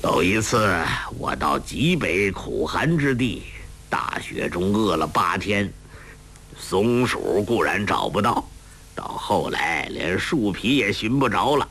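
A hearty, crude old beggar master with a low, gravelly-toned growl that sinks even deeper when he schemes, as a male narrator puts him on. He drawls slowly and mocks everyone around him in this storybook telling, with a lively swing in his voice. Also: a little hiss or hum, heavily acted. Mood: scheming, grumbling. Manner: rough, mocking, coarse and laughing. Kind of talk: audiobook character voice.

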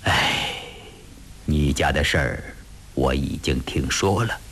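Man acting a grave, low, sighing gentleman. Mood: sighing, regretful. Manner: sighing, measured, pondering.

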